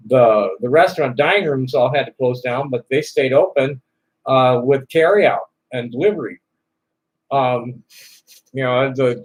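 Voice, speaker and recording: A man hosting a live call-in stream, rambling in a halting way with many fillers and restarts. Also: medium pitch, poor audio. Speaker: livestream host answering chat questions. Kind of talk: rambling casual chat. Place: Tucson, Arizona.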